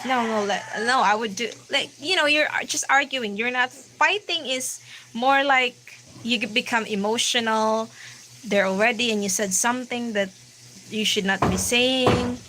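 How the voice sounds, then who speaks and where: Woman in her twenties, Filipino accent, slow relaxed pace, chatty livestream host, steady hiss behind. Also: on the high side. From Philippines. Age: 20s. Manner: chatty, reads viewer questions aloud, frequent restarts. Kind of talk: casual live Q&A reading chat. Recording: noisy home livestream.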